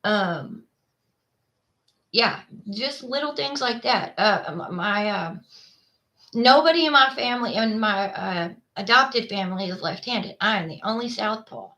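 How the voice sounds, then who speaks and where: A woman's voice: mid-range voice, lively intonation, measured pace, sharp tone, rough recording. A middle-aged woman from Texas with a Texan accent, chatting casually and frankly with lots of fillers and restarts. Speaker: vlogger. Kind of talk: casual chat.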